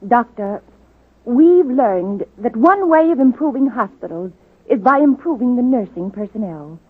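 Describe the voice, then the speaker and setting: Casting: resolute charity campaigner, slightly rough husky tone, earnest persuasion, 1940s radio drama on narrow-band recording. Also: female voice, fairly high pitch, animated, measured pace. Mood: earnest, persuasive. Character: earnest, determined woman charity reformer. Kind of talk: 1930s-40s radio drama acting. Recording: tinny, muffled old radio recording.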